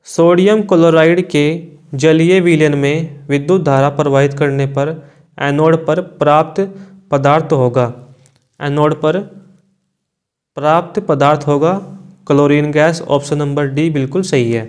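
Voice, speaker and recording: A man's voice: a little high, steady tone, unhurried, a little mellow, clean tone. A man, an exam coaching teacher reading out quiz questions and giving answers in an informal, brotherly way.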